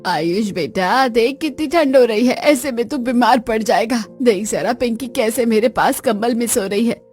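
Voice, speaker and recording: A woman voicing an elderly grandmother in an animated story, speaking softly and coaxingly. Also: fairly high pitch, normal speed, soft background music, close to an everyday voice. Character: elderly grandmother, gentle and worried.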